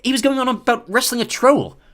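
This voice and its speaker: A man voicing an eager, nervous young schoolboy in a hushed whisper. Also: very high-pitched, a little fast, pitched above the performer's own voice.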